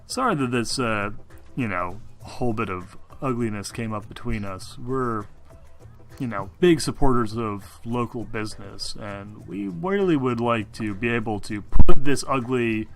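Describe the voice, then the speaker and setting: A man playing a conciliatory, flattering company representative in a tabletop game, speaking in a halting, diplomatic way. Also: mid-range voice, soft background music, lightly acted. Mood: conciliatory, ingratiating. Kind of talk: in-character tabletop roleplay.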